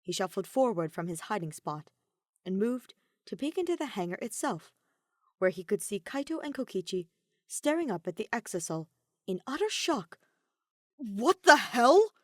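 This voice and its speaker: A woman's voice: mid-range voice, sing-song, normal speed, no echo, studio quality. A woman reading a story aloud as scripted narration, like an audiobook. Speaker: fan fiction audiobook reader.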